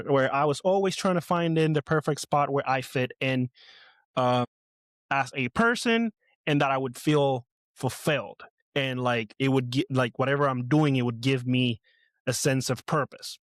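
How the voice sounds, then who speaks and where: A man's voice: on the high side, no echo, clean studio sound. Nervous, rambling man in his twenties. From Puerto Rico. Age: 20s. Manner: nervous, rambling, many fillers and restarts. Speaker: podcast host. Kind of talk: casual personal monologue.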